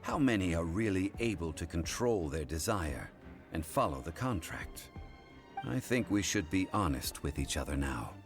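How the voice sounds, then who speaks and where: Calm stern scholar gentleman in a game, really low voice, expressive, formal. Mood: composed. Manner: measured, formal, authoritative. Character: calm, learned gentleman consultant, stern about contracts, formal. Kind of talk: video game character acting. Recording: music behind the voice.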